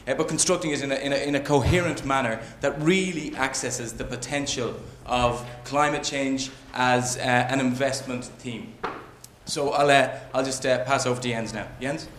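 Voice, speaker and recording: A man introducing a colleague at a business event, halting and stumbling over repeated words. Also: medium pitch, a bit bright, a little hiss or hum.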